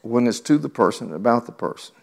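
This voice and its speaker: A man's voice: medium pitch, animated, a little fast, gravelly. A man preaching a sermon in a teasing, conversational way, reading scripture and talking directly to the congregation. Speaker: pastor.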